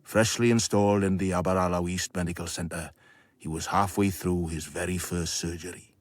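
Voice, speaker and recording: An actor playing a wry, worldly narrator in a radio drama, with a husky voice and sardonic, rhetorical delivery. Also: male voice, low voice, fairly even intonation, moderate pace, no echo, natural voice. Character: wry, worldly, philosophising male storyteller.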